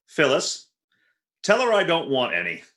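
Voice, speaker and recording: A man's voice: on the high side, huge pitch swings, measured pace, a bit bright, full character voice. A man playing a jealous, exasperated husband in a 1940s-style radio comedy, sarcastic and huffy. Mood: hurt, indignant. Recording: roomy, echoing recording.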